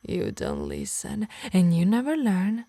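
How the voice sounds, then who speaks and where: A woman performs an obsessive harpy woman for an ASMR roleplay, speaking very close and dry with a light Italian accent. Her breathy voice drifts into whispers and slow, menacing emphasis, tender and possessive at once. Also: fairly low pitch, huge pitch swings, warm tone, no echo, full character voice. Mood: irritated, threatening. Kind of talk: intimate ASMR roleplay acting.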